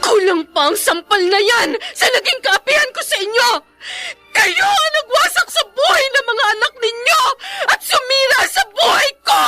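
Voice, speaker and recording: A woman's voice: extremely high pitch, big pitch swings, moderate pace, sharp tone, raised voice, faint music behind, heavily acted. An actress playing a wronged, emotional young woman in a Filipino radio drama. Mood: tearful rage. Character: wronged young woman, bitter yet grateful, a former bar worker.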